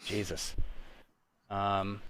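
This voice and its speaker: A man's voice: lowish voice, level tone, drawn-out words, a bit bright, slight background noise. A young adult man hosting a livestream, chatting casually in a slangy, rambling way with friends. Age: young adult to 30s. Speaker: livestream host. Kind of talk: casual chat. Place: online group voice call.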